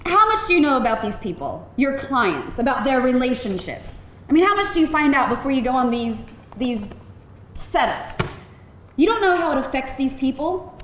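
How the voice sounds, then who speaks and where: A woman's voice: high voice, big pitch swings, moderate pace. A woman playing a cool, skeptical, scornful job candidate with a dry, pointed manner at a live table read. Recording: roomy live event, faint noise.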